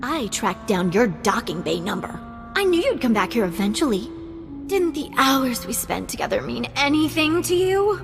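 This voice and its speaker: High, lilting, coy young heiress. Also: female voice, sing-song, moderate pace, gravelly, over music. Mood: hurt. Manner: coy, gushing. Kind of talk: video game voice acting.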